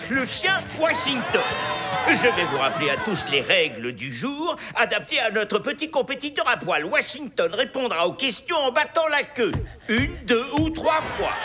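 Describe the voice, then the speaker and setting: A man voicing a flamboyant cartoon TV quiz show host with exaggerated announcing. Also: extremely high pitch.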